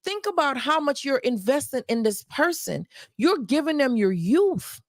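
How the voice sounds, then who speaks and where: A woman's voice: high-pitched, very animated, normal speed, no echo, clean studio sound. A woman life coach giving advice on a livestream, emphatic and repeating points for stress.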